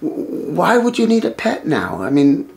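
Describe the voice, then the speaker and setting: A storyteller playing a noble princely husband, gentle yet commanding, speaking in an earnest, measured way. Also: mid-range voice, huge pitch swings, vocal fry. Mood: gentle, puzzled.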